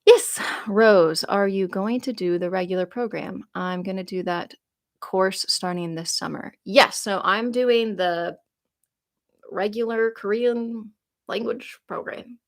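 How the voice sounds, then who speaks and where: A woman's voice: medium pitch, takes it slowly. A woman in her late twenties chats through viewer questions on a live stream. She rambles in a loose, friendly way, full of "like" and self-corrections, and laughs easily as she goes. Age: 20s. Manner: chatty, rambling, lots of "like" fillers and restarts; laughs easily while reading comments aloud. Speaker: YouTuber answering viewer questions. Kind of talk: casual live-stream Q&A chat.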